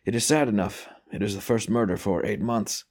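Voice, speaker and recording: A man reading an audiobook voices a frail, ailing old cardinal who speaks softly, wearily and kindly. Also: on the low side, fairly quick, natural voice. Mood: sombre. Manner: soft, weary, kindly. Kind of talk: audiobook character voice.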